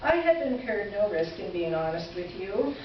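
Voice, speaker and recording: A woman playing a wry, kindly letter writer in a staged reading, with measured, articulate, theatrical delivery. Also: medium pitch, sing-song, natural voice. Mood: earnest, reassuring. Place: live stage presentation in a roomy venue. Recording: distant, echoey, muffled live stage recording, noisy.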